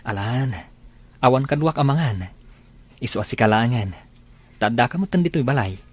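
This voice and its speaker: A man acts a young village suitor with an Ilocano accent in an audio drama. His voice is husky and of middling pitch, teasing and lovestruck. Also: lively intonation, moderate pace. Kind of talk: amateur Ilocano radio-style comedy drama acting.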